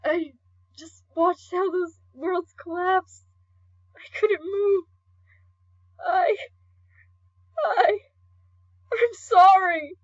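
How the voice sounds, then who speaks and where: A woman voicing a gentle, tearful, kindhearted young male skeleton guardian in an earnest, pleading comic dub. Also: very high voice, steady tone, extremely slow, pauses often, exaggerated voice. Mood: tearful, apologetic. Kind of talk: amateur comic dub acting. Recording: dull lo-fi home recording.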